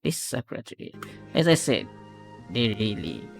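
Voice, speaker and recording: A man's voice: medium pitch, big pitch swings, measured pace, creaky. A man giving casual reaction commentary as a video host, rambling and often correcting himself. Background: music.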